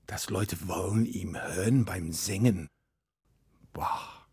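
A man's voice: deep voice, slow, a little mellow, slightly rough, no echo, studio quality. A man telling a folk story in to a live audience, chatty and playful with asides. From Wales. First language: English. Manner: chatty, playful asides, non-native grammar.

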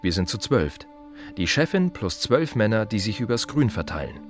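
An actor playing a plain-spoken, wry gravedigger in a radio play monologue, confiding in short halting phrases with dry irony. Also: male voice, lowish voice, sing-song, soft background music. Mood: matter-of-fact, wry.

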